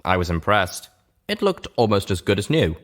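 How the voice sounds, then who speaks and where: A man's voice: fairly low pitch, very animated, moderate pace. A man reading a first-person story aloud as scripted narration. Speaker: narrator, reader of fan fiction.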